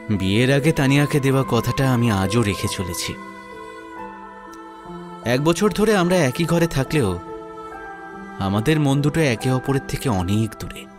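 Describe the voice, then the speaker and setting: A man performs a devoted, lovelorn young man in a romantic audio drama. He has a Kolkata Bengali accent and a medium-pitched voice, earnest and wistful with dramatic pauses, over soft background music. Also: lightly acted. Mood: resigned, tender. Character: lovelorn, self-sacrificing young man devoted to his friend. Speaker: narrator and lead actor in an audio story. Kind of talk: dramatic first-person audio story narration and dialogue.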